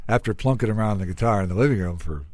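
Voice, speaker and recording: A man's voice: fairly low pitch, lively intonation, very fast, a little mellow, no room sound. An American man hosting a radio interview in a studio, chatting casually with his guest.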